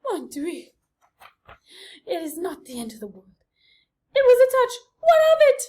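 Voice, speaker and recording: A woman voicing an excitable mother mouse, shrill and exclaiming. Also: a little slow, full character voice. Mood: indignant.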